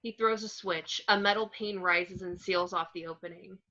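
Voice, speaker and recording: A woman's voice: on the low side, fairly even intonation, moderate pace, sharp tone. A woman narrator reading out screenplay action descriptions on a dull, lo-fi home recording.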